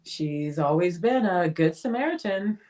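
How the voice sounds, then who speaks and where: A woman's voice: fairly low pitch, expressive, unhurried, darkish tone. A woman playing an aloof, sardonic bohemian artist in a dramatic play reading. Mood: wry.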